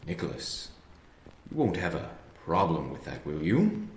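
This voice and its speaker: A man's voice: rumbling low, big pitch swings, a little slow, exaggerated voice. An actor playing a solemn, formal older father who leads a secret order in an audio drama. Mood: approving, controlling. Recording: echoey chamber with faint noise.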